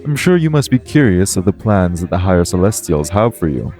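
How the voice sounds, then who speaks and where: A man playing a composed, formal angel in an audio roleplay, speaking in a measured, persuasive way. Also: sits low, very animated, warm tone, faint music behind. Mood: calm, persuasive. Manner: formal, measured, solemn recruiter.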